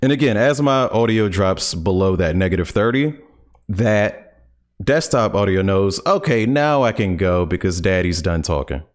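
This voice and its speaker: A man in his thirties with a very deep, low voice leads a relaxed streaming tutorial. He talks in an upbeat way and drops playful jokes between the steps. He records at home on a studio mic on a boom arm. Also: moderate pace. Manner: upbeat, playful, joking asides. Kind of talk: casual tutorial. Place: home streaming room, indoors. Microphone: large studio condenser on boom arm.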